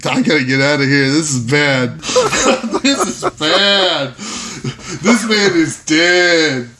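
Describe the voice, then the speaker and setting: A man with a fairly high voice talks casually as a player in a tabletop game. He describes his character's moves in the first person and reacts in an animated, mildly sweary way. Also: slow.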